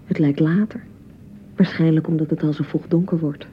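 A woman acting a touchy, nervous young bride in a radio drama, with a Netherlands Dutch accent and a slightly low voice. She rambles and keeps correcting herself. The sound is dull, with some rumbling noise. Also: fairly even intonation, moderate pace, close to an everyday voice. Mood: nervous, flustered. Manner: hesitant, rambling, self-correcting. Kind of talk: naturalistic radio play dialogue.

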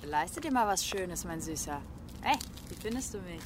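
An actress playing a tough street prostitute, mocking and hard-edged toward a former lover, in a German radio drama. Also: female voice, lowish voice, animated, normal speed, heavy background noise, close to an everyday voice. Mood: enticing. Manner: sardonic, teasing, hard-edged.

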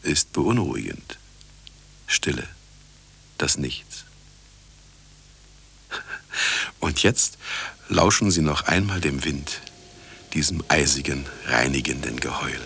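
A man's voice: a bass voice, unhurried, gravelly, long pauses, pushed and bright, slightly rough, heavily acted. An actor playing a sardonic, grandiose radio broadcaster in a radio play, theatrical and mocking as he lectures his listeners. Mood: hushed, ominous.

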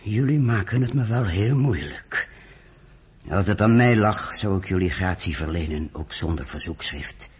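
A man playing a reluctant, persuasive British general in a Dutch radio drama, husky, earnest and coaxing. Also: deep voice, animated, moderate pace, slight background noise. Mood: troubled pleading.

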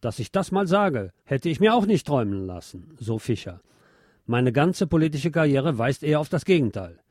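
A man's voice: medium pitch, sing-song, moderate pace, darkish tone, no room sound, clean studio sound. A man hosting a radio programme, reading news and press commentary aloud in a wry, sardonic manner.

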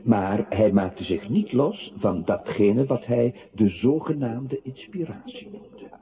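An actor playing a narrator in a literary radio play, reciting deliberately and precisely. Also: male voice, mid-range voice, breathy edge, full character voice. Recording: muffled, noisy old radio-play recording.

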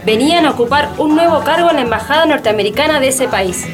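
A woman's voice: high voice, fast talker, music in the background, natural voice. A woman narrating a radio theatre piece in a scripted documentary style.

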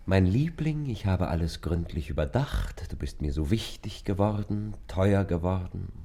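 A man playing a melancholy, wry lover in a literary radio play, speaking haltingly in a husky voice. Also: low-pitched, expressive, warm tone, heavily acted. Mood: wry, brooding. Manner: brooding, wry, halting delivery. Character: melancholy, detached lover about to leave, later frantic.

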